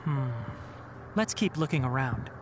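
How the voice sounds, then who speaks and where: A composed young scholar played by a man reasons aloud in a video game. The delivery is measured and analytical with lively pitch movement, over audible noise. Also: close to an everyday voice. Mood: pensive. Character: young male scholar, calm, analytical, slightly proud.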